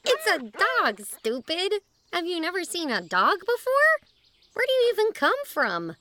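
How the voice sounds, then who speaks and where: Female performer, sassy adventurous young girl, very animated, unhurried delivery, excitable, children's audio drama. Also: extremely high pitch, slight background noise, heavily acted. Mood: sassy, teasing. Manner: excitable, teasing.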